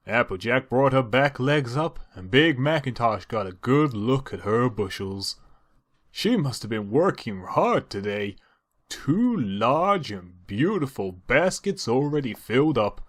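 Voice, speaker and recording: A man reading a story aloud as narrator, in a dramatised storybook style. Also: mid-range voice.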